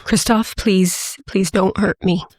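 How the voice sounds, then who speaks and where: An actress playing a shaken, indignant agent with a sarcastic edge in an audio drama. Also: female voice, mid-range voice, fairly even intonation, slow, no room sound. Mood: frightened, placating. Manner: sarcastic, pointed questioning.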